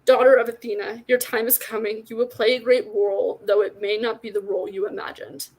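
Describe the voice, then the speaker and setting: A woman voicing an ancient, fading male god of the wild from a storybook, gentle and forlorn, in a solemn, tender manner. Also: a little high, fairly even intonation, brisk, low-quality recording. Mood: solemn blessing.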